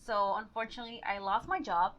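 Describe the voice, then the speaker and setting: A woman's voice: medium pitch, fast talker, slight background noise. A woman in her forties, from Honduras and raised in Miami, a real estate agent chatting as an interview guest and laughing often. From Honduras, grew up in Miami. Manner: warm, laughs often, some fillers. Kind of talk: casual interview chat. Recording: slightly dull lo-fi sound.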